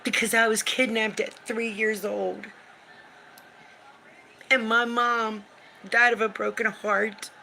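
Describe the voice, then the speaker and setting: A woman in her fifties with a deep, smoky voice talks slowly and with hard, forceful edges on a live stream. She is blunt and emphatic, swears without pause, and hammers her points home through repetition. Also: little pitch movement, creaky, slight background noise, poor audio. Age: 50s. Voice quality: deep smoker's voice. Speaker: livestream host. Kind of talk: emotional live-stream venting. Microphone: phone or webcam for a live stream.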